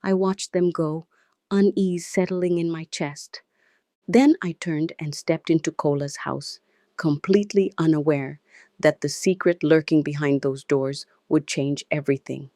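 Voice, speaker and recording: A woman's voice: low voice, fairly even intonation, measured pace, warm tone, no echo, studio quality. A woman with a Nigerian accent telling a dramatic first-person story as its narrator.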